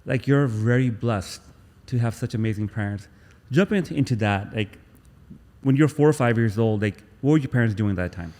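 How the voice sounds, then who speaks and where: A man's voice: medium pitch, expressive, normal speed, darkish tone, long reverb tail. A man hosting a podcast, effusive and eager, repeating himself as he thanks his guest and pushes follow-up questions. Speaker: podcast host. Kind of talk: casual interview.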